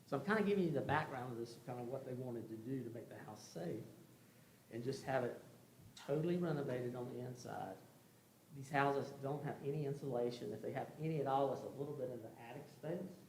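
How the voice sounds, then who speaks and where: Man, mid-pitched, folksy contractor, informal rambling explanation, public hearing, echoey room, faint noise. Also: a little fast, a little mellow. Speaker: contractor presenting a case to a commission. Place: indoor meeting room.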